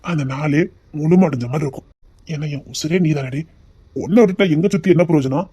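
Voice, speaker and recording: A woman's voice: on the low side, fast talker, close to an everyday voice. A woman playing a teasing young lover with a lilt. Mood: tender. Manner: teasing, then softly tender. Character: young man courting his sweetheart, teasing. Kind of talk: amateur romantic drama.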